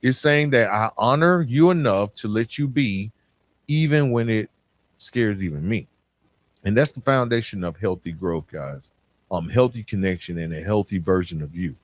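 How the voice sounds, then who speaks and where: A male podcast host with a fairly low voice gives a conversational motivational talk. He is plainspoken and speaks straight to his listeners, often putting questions to them. The sound is dry, with very little room in it. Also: lively intonation, normal speed, no room sound. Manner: plainspoken, addresses listeners directly with rhetorical questions.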